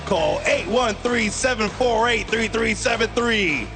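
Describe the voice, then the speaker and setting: A man announcing a radio advertisement in a shouted jingle style. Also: high voice, animated, unhurried. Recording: loud music bed.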